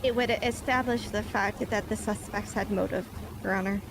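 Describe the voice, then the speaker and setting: A woman's voice: medium pitch, fairly even intonation, moderate pace, noisy background. A woman playing a police officer on the witness stand, speaking in stiff, formal report phrasing. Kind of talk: formal courtroom testimony in a game roleplay.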